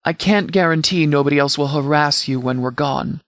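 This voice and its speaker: Man acting as a formal, higher-pitched military commander. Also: fairly bright tone, no room sound, clean studio sound, natural voice. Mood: firm, measured. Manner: formal, measured, tactical phrasing. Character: composed, formal, pragmatic male military commander. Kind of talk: video game dialogue acting.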